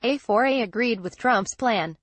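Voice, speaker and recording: A woman's voice: a little high, unhurried, no echo. Dry-recorded woman reading news. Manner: reads formally, word for word. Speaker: news article narrator. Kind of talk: scripted news narration.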